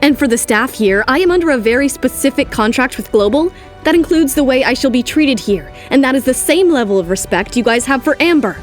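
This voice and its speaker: A woman's voice: high voice, lively intonation, a little fast, soft background music. A woman voicing a proud, confident top model in an audio drama, assertive and cutting. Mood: firm.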